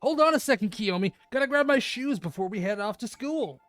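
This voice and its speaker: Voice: medium pitch, animated, fairly quick, a bit bright, dry recording, soft background music, natural voice. A streamer reading a cocky, crude teenage boy judo star in a visual novel, brash and smug. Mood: hurried.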